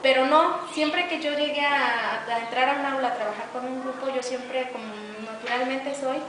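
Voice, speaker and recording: A young woman who teaches preschool, speaking calmly and softly about her classroom in an interview. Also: sits high. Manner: soft-spoken, calm, unhurried. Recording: echoey room, distant mic, noisy background.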